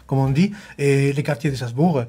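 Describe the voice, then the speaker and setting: A man's voice: mid-range voice, moderate pace, warm tone, slightly rough. A man speaking as a guest on a studio panel, hesitant, with many restarts.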